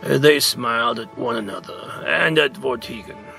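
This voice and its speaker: A man reading a storybook aloud in a deliberate, theatrical narrating style. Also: medium pitch, vocal fry, bright, forceful tone, faint music behind. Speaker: story reader.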